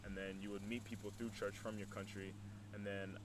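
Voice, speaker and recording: A man's voice: fairly low pitch, level tone, brisk. A man, chatting casually and a bit hesitantly as an interview guest. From Burundian background, grew up in Texas. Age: early 20s. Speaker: interview guest, student entrepreneur. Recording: clear background noise.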